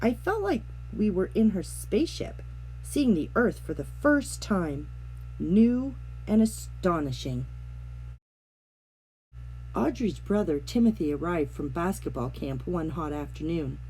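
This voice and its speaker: A woman reading a children's picture book aloud, narrating with gentle, expressive storytelling. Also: lowish voice, a little slow, a little hiss or hum, low-quality recording. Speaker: storybook reader.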